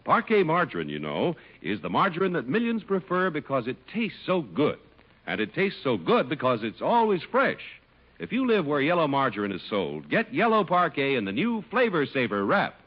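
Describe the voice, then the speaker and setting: A man's voice: a little high, wildly swooping pitch, moderate pace. An American man announcing in polished, emphatic 1950s radio style, reading scripted ads and introductions. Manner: polished, emphatic sales delivery. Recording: muffled old radio broadcast over music.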